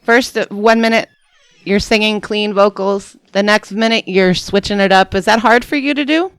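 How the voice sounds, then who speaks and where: A woman hosting a radio show interview, asking relaxed, chatty questions. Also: medium pitch, normal speed, smooth, no echo. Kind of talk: casual interview.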